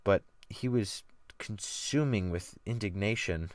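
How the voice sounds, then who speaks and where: A man narrating a literary audiobook in a theatrical style with deliberate phrasing, close to the microphone. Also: lowish voice, dry recording. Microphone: close USB desktop microphone.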